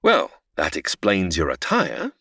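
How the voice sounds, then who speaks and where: Very low, dry-recorded, stern heavenly supervisor, formal, exasperated, comedy audio drama. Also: female voice, wildly swooping pitch, a little slow, a bit bright, slightly rough, dry recording, full character voice. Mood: weary, irritated. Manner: formal, impatient, sighing.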